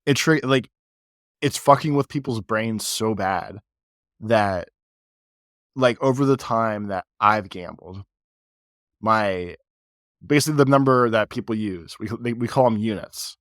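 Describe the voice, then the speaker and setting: A man with a low voice and a stuffy, congested nose from a cold, rambling through a casual podcast chat. His talk wanders and is packed with fillers. The sound is close and dry, with no room around it. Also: unhurried, long pauses, fairly bright tone, dry recording. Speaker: podcast co-host.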